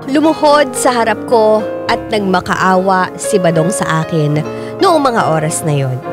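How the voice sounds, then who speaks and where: A woman's voice: mid-range voice, animated, measured pace, natural voice. An actress playing a hurt but resolute woman telling her own story as radio drama narration. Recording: piano music loud behind narration.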